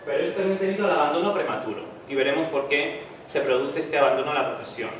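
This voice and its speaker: A man's voice: a little high, quick pace, a bit bright, distant mic, slight background noise. A man speaking as a conference lecturer to students, in an informal way with frequent tag questions, inviting the audience to interrupt. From Spain. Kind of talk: university lecture talk. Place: lecture hall. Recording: echoey hall, voice from afar.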